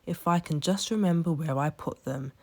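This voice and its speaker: A woman's voice: low-pitched, moderate pace, a little mellow. Lady doing kindly old lighthouse man from a storybook, reassuring, kind of musing.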